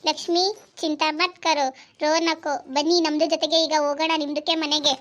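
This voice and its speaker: A woman's voice: high-pitched, flat delivery, a little fast, a bit bright. A woman playing a blunt, cheeky neighbour woman who mixes Hindi words into broken Kannada.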